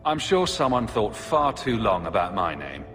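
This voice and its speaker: Low-voiced, wry young hero. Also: male voice, very animated, moderate pace, a bit bright. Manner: wry, matter-of-fact. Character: steady, dryly witty young male fantasy hero. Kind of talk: fantasy video game voice acting. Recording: long reverb, music behind. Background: music.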